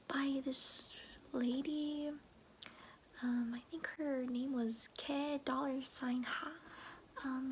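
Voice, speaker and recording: A woman's voice: high-pitched, a little hiss or hum, rough recording. A young woman voicing a kindly, slightly clueless old grandmother in a whispered, soothing ASMR roleplay, fussing with folksy endearments, close to the microphone.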